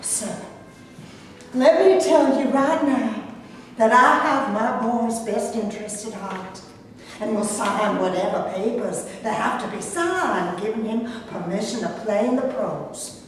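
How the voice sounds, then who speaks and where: A woman's voice: on the high side, a little slow, natural voice. A woman playing a brash, scheming birth mother. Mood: pleading. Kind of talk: staged play reading. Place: theater stage. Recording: echoey hall from far off, faint noise.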